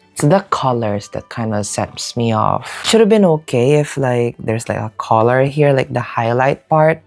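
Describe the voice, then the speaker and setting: A young man chats loosely to his camera in a casual vlog, dropping "like" constantly and swearing offhand. His voice sits at an ordinary middle pitch, with faint music playing softly behind him. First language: Filipino. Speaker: vlogger. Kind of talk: casual book-haul vlog.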